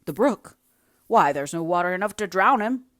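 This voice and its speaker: A woman's voice: on the low side, expressive, normal speed, a bit bright, dry recording, clean studio sound. A woman voicing a blunt, practical working man who speaks emphatic northern dialect, in a storybook reading. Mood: matter-of-fact.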